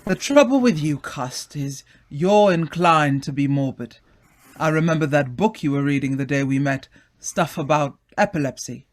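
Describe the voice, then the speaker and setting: A hearty, self-assured gentleman acted by a man with a somewhat high voice. He is jovial yet probing and insinuating, in old-time radio drama style. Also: normal speed, close to an everyday voice. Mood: probing, solicitous. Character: smooth, jovial, probing male acquaintance with authority.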